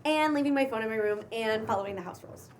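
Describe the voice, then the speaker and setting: A woman playing an anxious young newcomer who is eager to fit in, reading haltingly at a table read. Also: a little high, slight background noise, close to an everyday voice. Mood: awkward, hesitant. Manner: hesitant, halting. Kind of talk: table read of a pilot script.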